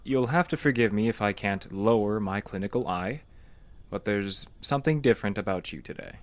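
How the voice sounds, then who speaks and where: An actor in an audio drama playing a composed, probing male psychotherapist with a measured, dry, clinical manner. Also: lowish voice, no room sound, lightly acted. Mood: curious, dry.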